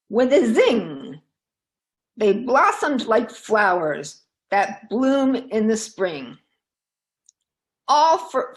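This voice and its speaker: A woman storyteller reading aloud for children in a dramatic, deliberate, expressive way. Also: fairly high pitch.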